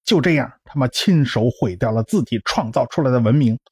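A man's voice: medium pitch, lively intonation, a little fast, darkish tone, slightly rough, no echo, studio quality. A man playing a cocky, talkative scientist in a radio drama, with a blustering, explanatory way of talking. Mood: explaining, storytelling. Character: cocky, talkative male scientist and science populariser, brash and lively. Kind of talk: Chinese radio drama acting.

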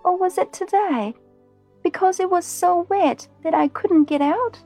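A woman voicing a curious, innocent little princess, speaking high and eager. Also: a little slow, mellow, soft background music. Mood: wondering.

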